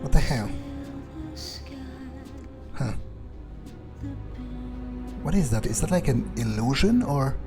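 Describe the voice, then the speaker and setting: A man playing a video game in character, musing aloud in an understated, deadpan and slightly hesitant way. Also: mid-range voice, slow pace, long pauses, over music. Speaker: gamer playing a vampire in character.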